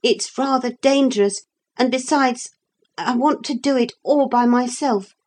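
An earnest young boy hero from a storybook, acted by a woman narrator. The voice is raised high and sounds urgent and excited. Phrases come at an unhurried pace.

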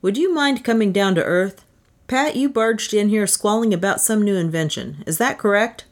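A woman's voice: medium pitch, normal speed, pitched above the performer's own voice, lightly acted. A woman voicing a skeptical, wisecracking male federal office boss in a storybook, dry and scoffing. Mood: disgusted.

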